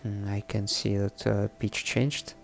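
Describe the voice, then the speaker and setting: A man presenting a casual, unscripted coding tutorial, thinking aloud haltingly in non-native English. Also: lowish voice, level tone, creaky. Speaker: presenter of a programming tutorial series. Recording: faint background music under the voice. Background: faint music.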